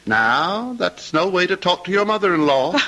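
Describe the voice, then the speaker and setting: An actor playing a cruel, mockingly polite Southern gentleman villain in a radio drama, with a rough-edged, taunting drawl. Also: high-pitched, expressive, moderate pace, heavily acted. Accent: American Southern. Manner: taunting, drawling mock courtesy.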